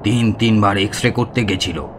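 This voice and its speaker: An actor playing a boastful, chatty village tantric healer who tells ghost tales in a Bengali radio drama, with a Bengali accent. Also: male voice, on the low side, steady tone, normal speed, background noise, natural voice. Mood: boastful, casual. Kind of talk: Bengali audio drama acting.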